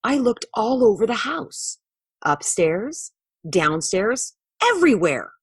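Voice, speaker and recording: Woman acting an animated young boy, Kenny. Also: fairly high pitch, slow pace, husky, no echo, studio quality, voice pushed up, heavily acted. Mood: earnest, puzzled. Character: Kenny, young boy, bored then earnest storyteller.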